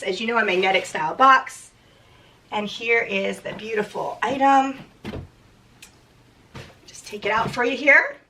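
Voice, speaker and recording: Hoarse woman in her thirties, casual chatty vlogger with a bright, gravelly voice, a little distant from the mic. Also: on the high side, slight background noise. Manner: chatty, many restarts and "um"s. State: hoarse from a cold. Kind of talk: casual unboxing vlog.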